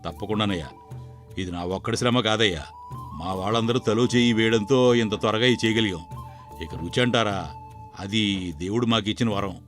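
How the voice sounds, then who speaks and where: A man voicing a proud, bossy village wedding cook in a cartoon moral story, speaking in a measured, authoritative way. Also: on the low side, fairly bright tone, soft background music, pitched below the performer's own voice, close to an everyday voice. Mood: earnest, assured.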